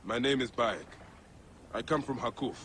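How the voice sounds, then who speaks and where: A man's voice: medium pitch, normal speed, a little hiss or hum, natural voice. A man playing a stoic, earnest warrior protector of ancient Egypt in a video game, speaking formally. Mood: solemn, steady. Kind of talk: video game cutscene acting. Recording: loud music behind the voice.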